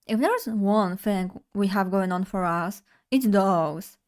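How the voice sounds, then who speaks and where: A woman's voice: mid-range voice, slow pace. Young woman, bubbly casual streamer talking to chat. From Poland. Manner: bubbly, chatty, addresses chat directly. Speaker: vtuber streamer.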